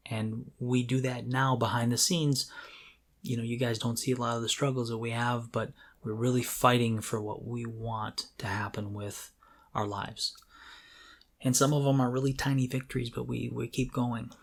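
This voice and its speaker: A middle-aged man co-hosting a podcast, talking casually and reflectively in an earnest, halting way as he thinks aloud. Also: mid-range voice, little pitch movement. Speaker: podcast co-host. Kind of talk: casual, reflective talk about creativity.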